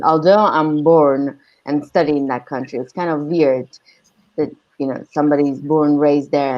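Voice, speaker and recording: A woman from Iran with an Armenian accent, co-hosting a podcast and chatting casually over a video call in a plain-spoken, slightly halting way. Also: low voice, a little mellow, highs cut off. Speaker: podcast co-host.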